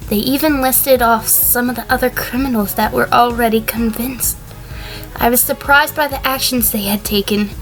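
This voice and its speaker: Wary ex-conspirator sheep on the witness stand, played by a woman; higher register, dry, measured audio drama delivery. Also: steady tone, music in the background, close to an everyday voice. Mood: composed, wary. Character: female sheep defendant, a wary, composed former conspirator. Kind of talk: fan audio drama acting.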